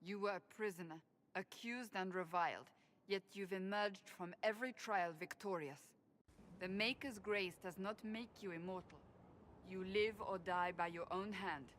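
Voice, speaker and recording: An actress voicing a stern, devout female warrior in video game dialogue, proud and earnest, speaking in a low, firm, measured way. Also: a bit bright, slight background noise, natural voice.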